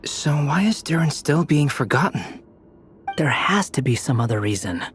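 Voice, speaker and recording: Thoughtful young alchemist in a game, calm and measured, faint noise behind. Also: male voice, on the high side. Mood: pensive. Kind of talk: video game character acting.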